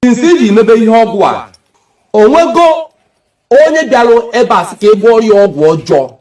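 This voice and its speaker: Male interviewer, high pitch, captured away from the mic. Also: very animated, a little fast, a little mellow, distant mic. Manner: asks questions, gives short murmured replies.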